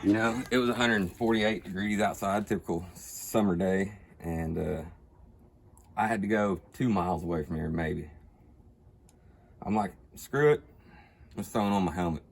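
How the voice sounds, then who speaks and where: A man's voice: sits low, normal speed, pauses often. A middle-aged American man, a motorcycle mechanic, telling stories casually in plain, colloquial language. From United States. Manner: plain-spoken, colloquial, cursing. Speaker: motorcycle mechanic and rider, interview guest. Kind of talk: casual storytelling and chat. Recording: clearly noisy background.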